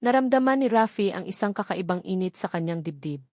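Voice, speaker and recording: A Filipino woman tells a romantic story from a script. Her voice sits at a middle pitch, and her delivery is dramatic and measured. The sound is dry, with no room around it. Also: expressive, darkish tone, no room sound. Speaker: story narrator.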